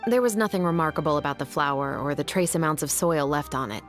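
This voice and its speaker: A woman playing an earnest, dutiful young patrol captain in a video game, with a formal, measured delivery and music behind her. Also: deep voice, expressive. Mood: composed, explanatory.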